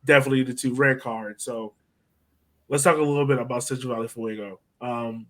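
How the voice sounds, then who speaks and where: Man, podcast host, mid-pitched voice, casual sports discussion, conversational questioning. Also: fairly bright tone.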